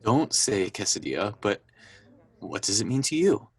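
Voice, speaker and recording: A man's voice: lowish voice, animated, normal speed, husky. A young man playing an exasperated, evasive boyfriend in a contemporary radio drama, defensive and bantering. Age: young adult. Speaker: student voice actor.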